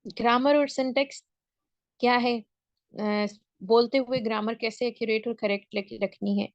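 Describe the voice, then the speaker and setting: A woman's voice: fairly high pitch, fairly even intonation, normal speed, no echo, lo-fi sound. A woman teaching an English speaking class over a video call. She explains step by step and keeps checking that the students are following. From Pakistan. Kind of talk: online class lecture.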